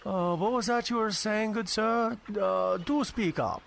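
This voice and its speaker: Man doing a high-pitched giddy, prissy tourist. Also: unhurried, gravelly, a bit bright, a little hiss or hum, full character voice. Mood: giddy, delighted. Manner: effusive, fussy, hesitant. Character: giddy, naive, prissy male tourist playing at pirates. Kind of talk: amateur audio drama acting.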